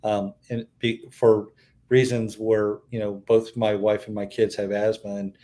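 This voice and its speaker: A man's voice: on the low side, level tone, measured pace, dark tone. A middle-aged man, a restaurant owner being interviewed, telling his story earnestly with frequent fillers. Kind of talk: casual interview chat.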